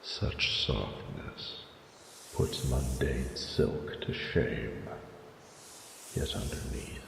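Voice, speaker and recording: A man's voice: rumbling low, fairly bright tone, full character voice. A man voicing an ancient, proud and flirty male dragon with pompous, archaic, formal speech in a romantic audio roleplay. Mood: intimate, intrigued. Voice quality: gravelly. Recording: roomy cavernous reverb with noticeable noise.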